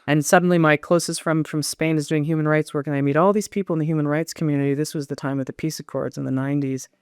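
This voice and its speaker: A woman's voice: sits low, steady tone, fast, darkish tone, smooth, dry recording, studio quality. A middle-aged American woman talking as an interview guest in a thoughtful, self-questioning, digressive way. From United States. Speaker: novelist, podcast guest. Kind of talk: reflective conversational interview.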